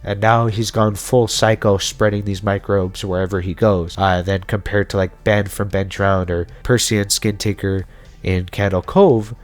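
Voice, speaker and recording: A man's voice: fairly low pitch, level tone, normal speed. A man giving casual explainer commentary as a video host, talking through theories in an informal, speculative way. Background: background music.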